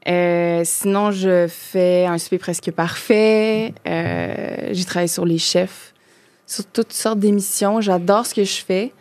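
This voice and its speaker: A woman's voice: on the low side, fairly even intonation, slow pace, a little mellow, no echo. A woman in her thirties from Quebec with a Québécois French accent, chatting as a podcast guest and talking loosely with many fillers and restarts. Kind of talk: casual chat.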